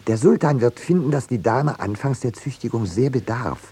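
An actor playing an urbane, coolly polite artist with dry, understated irony in a 1950s radio play. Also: male voice, mid-range voice, expressive, moderate pace, mellow, breathy edge. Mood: polite, ironic. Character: urbane, dryly ironic male artist, coolly polite schemer.

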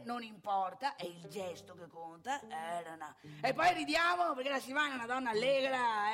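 Woman playing a creaky, lusty old man. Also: a little high, sharp tone. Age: older. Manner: rambling, emphatic, addresses listener with rhetorical questions. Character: lusty, nostalgic, boastful old Italian man. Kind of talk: theatrical comic monologue for radio theatre.

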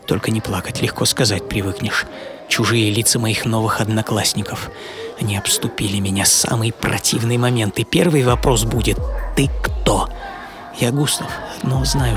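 An actor playing a melancholy, bitter banker and mystic writer, telling his life in a theatrical radio monologue with dramatic pauses. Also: mid-range voice, close to an everyday voice. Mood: anxious, wistful. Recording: loud music under the voice.

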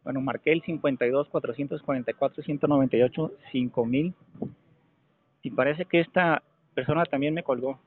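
A Mexican man making a call to argue a point, speaking insistently and haltingly, often repeating phrases for emphasis. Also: medium pitch. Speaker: caller. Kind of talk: phone complaint, argumentative questioning. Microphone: telephone. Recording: narrow, tinny telephone call recording.

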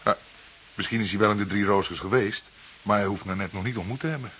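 Man acting a low-voiced Dutch junior detective, inquisitive. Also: quick pace, fairly bright tone, natural voice. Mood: doubtful. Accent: Dutch (Netherlands). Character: younger male detective sidekick, inquisitive, teasing junior partner. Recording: hissy old radio play recording.

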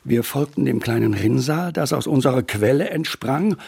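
An actor playing an irascible, scornful newspaper editor in a German radio drama, gruff, exasperated and bossy. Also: male voice, medium pitch, lively intonation, normal speed, darkish tone. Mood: reading aloud.

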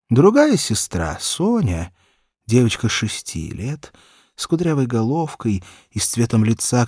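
A man reads a children's story in a husky voice with highly animated intonation. His phrasing is deliberate and literary. The recording is dry and close, with no room around the voice. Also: no room sound. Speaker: audiobook narrator. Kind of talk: expressive scripted narration of a children's story.

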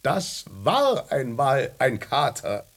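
A smug cat lecturer in a radio play. His voice is husky and creaky, slow, with big sardonic swings of pitch. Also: huge pitch swings, heavily acted. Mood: smug, lecturing. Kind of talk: radio drama acting.

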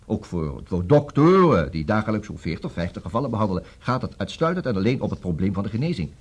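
Distracted busy lawyer, Netherlandic Dutch accent, highly animated pitch, slightly rough voice, stammered repeats, radio comedy. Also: male voice, quick pace. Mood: smooth, self-assured. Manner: hesitant fillers, repeats words, juggles several conversations. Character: busy, brisk, distracted male divorce lawyer.

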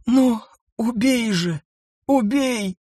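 A man's voice: extremely high pitch, extremely slow, a little mellow, no echo, studio quality, voice pushed up, heavily acted. A male narrator voicing a wounded, exhausted woman who pleads and sobs. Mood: pleading. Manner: sobbing, pleading. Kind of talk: audiobook character voice.

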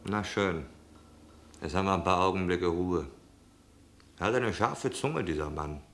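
A cynical onlooker in a radio drama. His voice is low, and he chats in a wry, colloquial way, disapproving and sardonic. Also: measured pace, a bit bright, slight background noise. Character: cynical, chatty male bystander, wryly disapproving. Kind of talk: radio play acting, conversational.

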